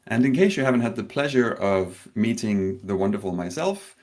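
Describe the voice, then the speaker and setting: Danish guy in his thirties, lowish voice, doing a live online conference talk, bit nervous, slow storytelling. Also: lively intonation. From Denmark. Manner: warm storytelling with pauses, slightly nervous. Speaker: conference speaker.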